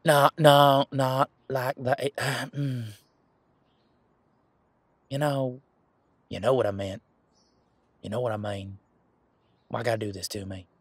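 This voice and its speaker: A man playing an easygoing, protective werewolf bodyguard in a roleplay audio drama, chatting in casual, halting, folksy phrases. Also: medium pitch, level tone, husky, no echo. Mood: flustered, teasing. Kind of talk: roleplay audio drama, conversational monologue to listener.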